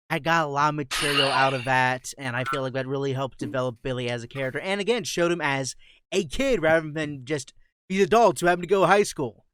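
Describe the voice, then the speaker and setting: Bright, clear-voiced young man. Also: mid-range voice, dry recording. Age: young adult. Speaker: voice actor. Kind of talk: casual chat. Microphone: online voice call.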